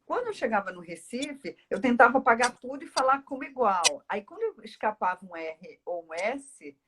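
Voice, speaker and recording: A woman's voice: on the high side, very animated, normal speed, background noise. A woman from Northeast Brazil with a Brazilian accent, talking at length in a casual, explanatory conversation as a speech therapist. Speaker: speech therapist, interview guest.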